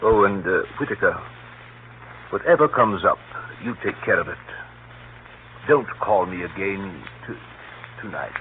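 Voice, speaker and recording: An actor in a 1930s radio drama playing a weary, ailing senior lord, halting, subdued and curtly dignified. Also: male voice, deep voice, animated. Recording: scratchy, tinny 1938 radio broadcast.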